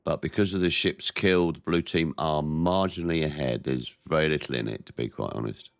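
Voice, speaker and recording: A very deep-voiced man with a head cold, his voice nasal and stuffy as he narrates a warship game in a measured, plain-spoken way. The sound is close and dry, with no room around it. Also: vocal fry, no echo. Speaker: gameplay commentator. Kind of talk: naval game commentary.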